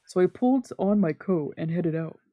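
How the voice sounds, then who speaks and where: A woman's voice: lowish voice, expressive, moderate pace, lo-fi sound. A woman streamer reading scripted first-person visual novel narration aloud. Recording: music behind the voice.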